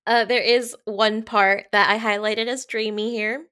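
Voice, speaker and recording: A woman co-hosting a casual book podcast, reading highlighted passages with enthusiasm. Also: fairly even intonation, unhurried, pushed and bright, clear, resonant tone. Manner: enthusiastic, gushing. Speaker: podcast co-host.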